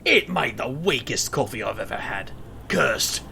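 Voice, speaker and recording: A loyal, bumbling man, acted for an audio drama with a lively, swooping delivery. His voice is forceful and a bit gravelly, with wry, defensive comedy. There is faint noise in the recording. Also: medium pitch, a little slow, exaggerated voice. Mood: defensive, comic. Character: bumbling, defensive, loyal male friend of a magician.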